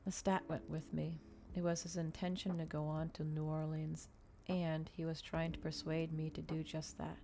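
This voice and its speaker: A woman doing an ASMR reading of a novel, soft-spoken and measured, with a dramatic storytelling delivery. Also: low voice, completely flat delivery, creaky, noisy background. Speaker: streamer reading a novel aloud.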